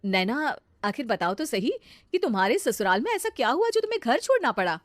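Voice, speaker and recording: A woman's voice: fairly high pitch, very animated, brisk, no room sound. Woman playing patient, kindly sister-in-law, close-recorded. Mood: concerned, soothing. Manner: friendly, soothing. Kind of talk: cartoon moral-story dialogue.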